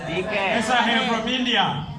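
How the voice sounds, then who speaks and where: High-voiced man rousing a crowd. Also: takes it slowly. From Uganda. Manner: energetic, rousing, drills the crowd with repeated questions. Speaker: seminar presenter. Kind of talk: motivational talk with audience call-and-response. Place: large hall with audience. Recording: echoey hall, distant mic, crowd noise.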